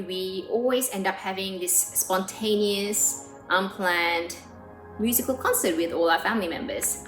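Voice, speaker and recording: A woman in her thirties from South Korea, a pianist speaking earnestly in a documentary interview, pausing with frequent ums. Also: mid-range voice. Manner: earnest and warm, with frequent "um" pauses. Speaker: pianist and composer. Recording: roomy sound with faint music behind.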